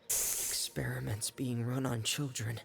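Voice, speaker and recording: Cautious young man, creaky, mid pitch, hesitant, subdued, uneasy, video game dialogue. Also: little pitch movement, unhurried, fairly bright tone, slight background noise, low-quality recording. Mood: somber.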